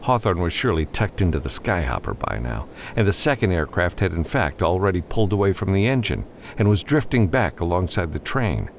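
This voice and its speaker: A man's voice: deep voice, sing-song, brisk, a little hiss or hum. A man narrating scripted action fiction as an audiobook reader, in a dramatic storytelling style.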